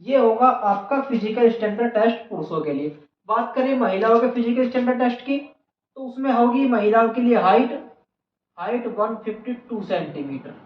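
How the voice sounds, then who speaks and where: A channel host giving an explainer on exam procedure, repeating key points for emphasis. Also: medium pitch. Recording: echoey room, dull lo-fi, faint noise.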